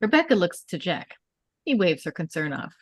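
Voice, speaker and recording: Low-voiced woman narrating a script, dry sound. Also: sing-song, moderate pace, slightly rough, dry recording. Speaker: stage directions reader. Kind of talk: scripted narration of screenplay directions.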